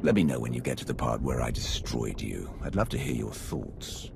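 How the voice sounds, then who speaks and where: A ruthless dark warrior in a video game, with an extremely low, gravelly voice. He is blunt and contemptuous, his pitch moving freely, with clear noise behind him. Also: wildly swooping pitch, normal speed, heavily acted. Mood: scornful, defiant. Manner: blunt, threatening, scornful. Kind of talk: scripted video game acting.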